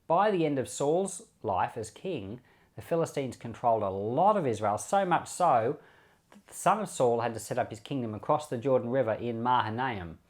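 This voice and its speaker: A man's voice: mid-range voice, lively intonation, normal speed, darkish tone. An Australian man speaking as a pastor, explaining scripture in an informal, conversational preaching style, often repeating short phrases. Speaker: pastor and Bible podcast host. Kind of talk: informal Bible commentary and preaching.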